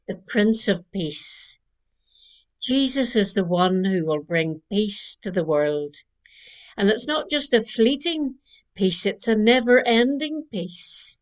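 Older lady minister, slow gentle reflection, recorded on a webcam in her living room. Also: medium pitch, animated. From Northern Ireland. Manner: slow, gentle preaching cadence. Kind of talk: Christmas Eve reflection. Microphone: webcam at desk distance.

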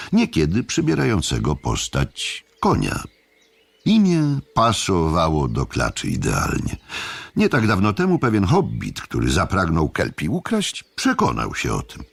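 A man narrating an audiobook drama as a literary storyteller, measured and deliberate, with dramatic pauses. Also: deep voice, vocal fry, dry recording.

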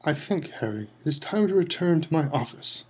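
A calm old wizard, read by a male narrator, soft and quiet. He speaks gently, close to a murmur. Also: a little high, slow pace, husky, full character voice. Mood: gentle.

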